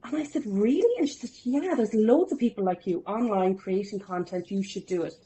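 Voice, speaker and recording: A woman in her forties chats with an upbeat, lively manner. She tells stories with self-deprecating humour as a podcast guest, at a medium pitch. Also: fairly quick, low-quality recording. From Ireland. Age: 40s. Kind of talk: casual interview storytelling.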